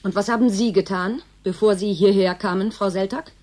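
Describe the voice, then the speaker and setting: Actress as skeptical, direct woman, medium pitch. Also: fairly even intonation, normal speed, a little mellow, natural voice. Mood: challenging. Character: Tanja, skeptical, direct female station inmate. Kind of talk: German radio drama acting.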